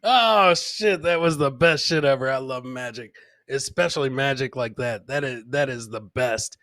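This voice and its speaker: A man's voice: medium pitch, normal speed, pushed and bright, no echo. A man hosting a casual live comedy show, chatting and reacting to clips, repeating himself and swearing freely. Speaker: podcast host.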